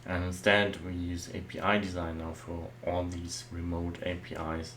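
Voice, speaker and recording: German man, low voice, software architect talking casually through slides, slight background hiss. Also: level tone, normal speed. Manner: loose and conversational, many "well" and "uh" fillers. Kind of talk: explanatory slide talk.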